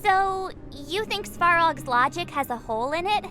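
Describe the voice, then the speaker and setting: A woman playing a perky, expressive teenage girl adventurer in a video game, with an informal, cute delivery. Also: very high-pitched, normal speed, over music. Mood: skeptical, playful.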